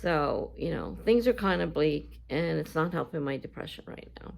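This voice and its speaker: A woman with a scratchy voice talking casually to her viewers in a vlog, halting and full of fillers. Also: deep voice, lively intonation, creaky, a little mellow. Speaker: YouTuber. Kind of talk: casual talk to camera.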